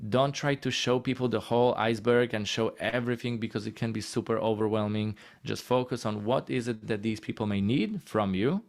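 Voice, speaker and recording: A man with a Polish accent talks through his points in a relaxed, encouraging way. His voice sits a little low, and he breaks his thoughts into short, easy phrases with the odd filler. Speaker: guest speaker on healthcare marketing. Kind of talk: casual presentation.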